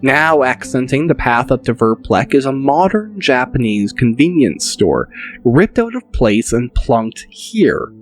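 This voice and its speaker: A man narrating a dramatic audiobook in a deliberate, measured manner. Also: medium pitch, big pitch swings, faint music behind.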